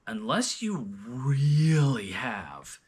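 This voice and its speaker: A man's voice: mid-range voice, very animated, drawn-out words, breathy edge. A man hosting a casual, unscripted discussion, often searching for words and restarting his sentences as he goes. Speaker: host of a scripture reading discussion.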